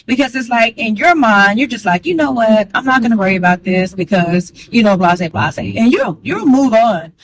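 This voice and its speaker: A woman talking fast and candidly to the camera, chatty and frank, with a strong voice. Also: a little high, expressive, a crowd in the background, poor audio. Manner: chatty, candid, calls listener girl; fast talker. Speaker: vlogger. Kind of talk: casual talk to camera.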